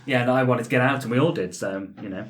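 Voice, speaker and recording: A man's voice: medium pitch, quick pace, background noise. A man who plays tabletop games, chatting casually and informally in a post-game discussion.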